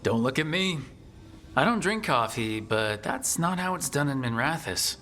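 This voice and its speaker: An actor playing a steady, encouraging leader of a band of heroes in a video game. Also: male voice, mid-range voice, moderate pace, soft background music. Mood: light, friendly.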